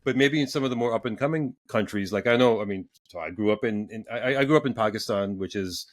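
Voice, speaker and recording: A man in his forties hosts a relaxed podcast chat in a low-pitched voice. He stops and restarts often, repeating words as he works toward a question. The recording is close and dry. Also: quick pace, no room sound. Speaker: podcast host. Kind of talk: casual interview chat.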